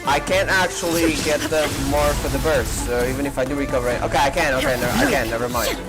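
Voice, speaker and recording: A man's voice: mid-range voice, quick pace, rough recording. A man giving casual, think-aloud commentary as he plays and reacts to a video game. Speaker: gaming content creator. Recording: loud game music over voice. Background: loud game music.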